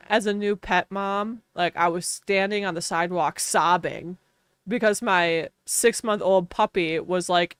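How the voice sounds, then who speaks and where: A woman's voice: on the low side, a bit bright, clean tone, no room sound, clean studio sound. A woman from Wisconsin with a Wisconsin accent, chatting casually while streaming a game, laughing often and saying "like" a lot. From Wisconsin, USA. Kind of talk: casual livestream chat.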